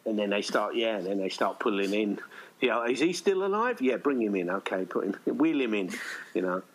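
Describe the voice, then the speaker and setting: Low-voiced British man chatting casually. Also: animated, brisk, low-quality recording. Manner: rambling, chatty. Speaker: audio play writer. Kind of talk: casual conversation.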